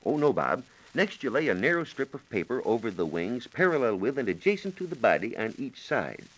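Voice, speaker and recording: A man's voice: medium pitch, sing-song, fairly quick, low-quality recording. A man explaining science on a 1940s radio program in a precise, methodical, formally worded manner, on a vintage broadcast recording.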